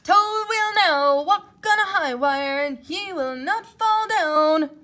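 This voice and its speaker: Woman as a slow, grandiose dream announcer. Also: extremely high pitch, lively intonation, sharp tone, faint music behind, voice pushed up, heavily acted. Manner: drawn-out, pompous, singsong proclaiming. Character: strange, grandiose stage announcer voice from far away. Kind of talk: children's storybook character voice.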